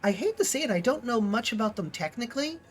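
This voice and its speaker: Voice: mid-range voice, sing-song, fast. A streamer with a stuffy, sniffly nose, chatting casually and rambling while playing a game. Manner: chatty, rambling, trailing off mid-sentence. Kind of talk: casual gaming chat.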